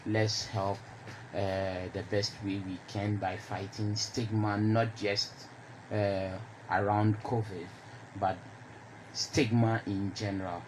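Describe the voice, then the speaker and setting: A young Ghanaian man speaks off the cuff as a radio panelist, halting and searching for words. His voice is on the low side, with clear noise in the background. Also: little pitch movement. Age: young adult. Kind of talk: unscripted panel discussion. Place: radio studio.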